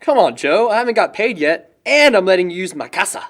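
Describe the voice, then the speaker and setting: Man acting an easygoing young guy, lively higher voice. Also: brisk. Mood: bantering. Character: easygoing young man, bantering party host. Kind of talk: scripted amateur audio drama dialogue.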